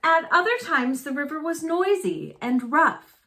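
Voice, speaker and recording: A woman reading a children's picture book aloud in a deliberate storytelling manner, phrased simply for young listeners. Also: sits high, big pitch swings, a bit bright, slight background noise. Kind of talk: children's read-aloud narration.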